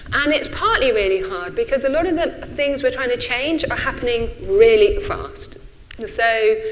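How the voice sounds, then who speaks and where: A woman's voice: mid-range voice, low-quality recording. A woman in her forties with a stutter, giving an informal, hesitant and self-effacing symposium talk. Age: 40s. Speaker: speaker, runs a think tank.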